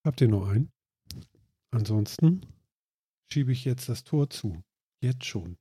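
A man's voice: mid-range voice, lively intonation, unhurried, warm tone, breathy edge, dry recording, studio quality. Nasal man chatting with a stuffed nose. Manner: rambling, many fillers. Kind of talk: casual chat.

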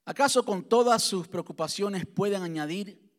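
A man's voice: sits high, normal speed. A man from Cuba with a Cuban Spanish accent, preaching a sermon as pastor and driving his points home with rhetorical questions and repetition.

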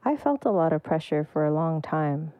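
A woman's voice: sits low, expressive, moderate pace, mellow, no echo. A woman percussionist giving thoughtful, searching answers in an interview. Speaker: percussionist guest.

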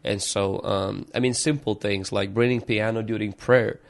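Ukrainian-born man, pastor guest, lowish voice, earnest and reflective, stops and restarts sentences. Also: steady tone, normal speed, vocal fry. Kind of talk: opening prayer, then storytelling interview answers.

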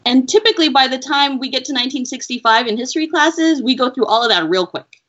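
A woman researcher answering interview questions in an earnest, measured, explaining way. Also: high-pitched, expressive, a bit bright. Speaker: education researcher, interview guest. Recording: dull lo-fi audio.

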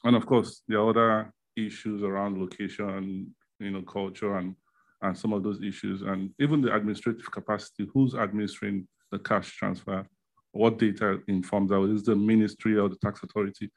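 Guy with a lowish voice asking questions at a seminar, kinda halting and deliberate. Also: fairly even intonation. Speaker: audience member asking questions. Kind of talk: spoken questions at a policy seminar.

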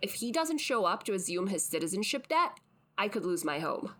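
A woman's voice: mid-range voice, sing-song, brisk, a bit bright. A woman playing a stressed, dry-witted podcast producer in a scripted audio drama. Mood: worried. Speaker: podcast producer character in a framing skit.

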